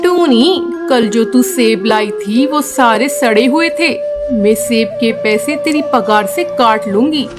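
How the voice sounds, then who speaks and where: A woman voicing a haughty, bossy pigeon mistress with a commanding manner, in an animated moral story. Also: a little high, slow, over loud music. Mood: scolding. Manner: commanding, scolding.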